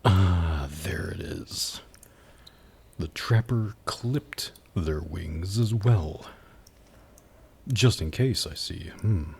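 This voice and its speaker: A man playing a suave, haughty demon buyer in an ASMR roleplay, speaking in a low, husky, gravelly voice with teasing, sardonic pauses. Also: a little mellow, a little hiss or hum, exaggerated voice. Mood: intrigued, calculating. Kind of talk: ASMR roleplay audio drama.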